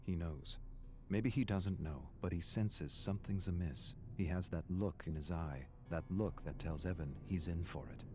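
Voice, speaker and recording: An actor playing a grim storyteller, reading a dark family tale for a video game in a solemn, deliberate, menacing way. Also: male voice, sits low, close to an everyday voice. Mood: ominous. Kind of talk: dramatic scripted third-person narration.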